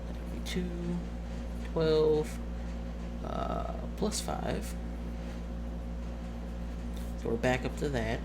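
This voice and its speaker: A man working as game master, casually narrating a tabletop game in a halting way, muttering through dice sums. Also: fairly high pitch, animated. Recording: clearly noisy background.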